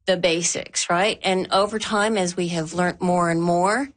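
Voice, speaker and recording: Slow, measured woman from Newfoundland. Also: fairly low pitch, steady tone. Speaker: archaeologist. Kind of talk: interview talk.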